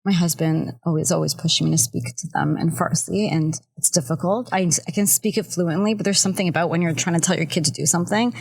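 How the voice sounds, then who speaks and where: A woman's voice: fairly low pitch, fast talker, vocal fry, hardly any pauses, slightly rough. A Canadian woman chatting casually as a guest on a podcast.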